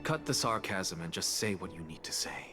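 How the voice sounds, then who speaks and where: Haughty aloof scholar in a game, low voice, cool and curt, music behind. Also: male voice, expressive, normal speed. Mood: cool, composed. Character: aloof, arrogant male scholar sharing his body with a titan. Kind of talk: scripted game cutscene dialogue.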